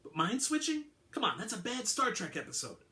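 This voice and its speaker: A man voicing a gruff, skeptical male police detective who is blunt, exasperated and swears freely. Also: sits high, huge pitch swings, fairly quick, pushed and bright, voice pushed up, exaggerated voice.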